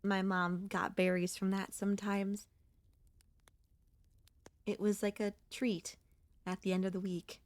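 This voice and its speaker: A woman voicing a gentle, wistful and anxious young centipede monster girl in an ASMR audio roleplay, speaking softly and hesitantly in a conversational way. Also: mid-range voice, flat delivery, unhurried, pauses often, no room sound, lightly acted. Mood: calm, reminiscing.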